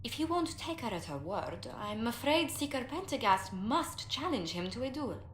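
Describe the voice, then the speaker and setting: Poised, higher-pitched lady diplomat. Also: big pitch swings, fairly bright tone. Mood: coolly firm. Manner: formal, polite, measured diplomacy. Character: poised, courteous female diplomat and ambassador. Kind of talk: video game dialogue acting. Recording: echoey game capture with faint noise.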